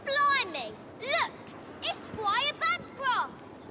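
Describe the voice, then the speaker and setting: A woman playing an excitable, eager Victorian street child in a video game. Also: very high-pitched, drawn-out words, full character voice. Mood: excited. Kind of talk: video game dramatic acting. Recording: loud street noise behind.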